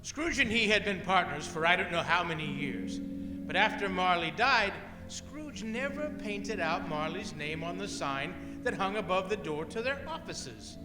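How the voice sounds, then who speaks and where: Man storyteller, high voice, big reverb. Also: expressive. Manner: measured storyteller phrasing. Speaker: radio play narrator and announcer. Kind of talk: scripted radio play narration. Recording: reverberant live hall, music behind.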